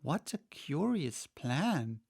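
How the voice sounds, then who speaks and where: A man's voice: a little high, very animated, takes it slowly, creaky, a little mellow, slightly rough, no echo, studio quality, full character voice. Man reading as a polite, curious storybook girl. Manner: plain, questioning, polite delivery. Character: polite, curious young girl Alice, timid yet indignant.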